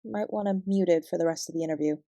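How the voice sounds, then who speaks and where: A woman with a Canadian accent playing a guarded, weary fraud investigator, giving a clipped, measured account in an audio drama. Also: low voice, mellow. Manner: measured, clipped report-like delivery. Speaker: investigator being interviewed.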